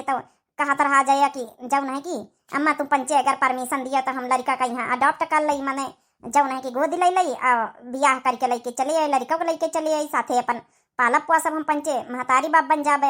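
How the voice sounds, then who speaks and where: Cartoon village mother put on by a woman, ageing, Awadhi accent, very high pitch, impatient, nagging. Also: steady tone, moderate pace, a bit bright. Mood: explaining. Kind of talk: amateur cartoon story dialogue.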